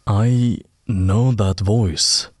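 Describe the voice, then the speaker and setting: An actor playing a thoughtful, keen audio analyst helping an investigation in an audio drama, speaking a little hesitantly. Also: male voice, on the low side, steady tone, extremely slow, breathy edge, no room sound, clean studio sound, exaggerated voice. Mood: hesitant recognition.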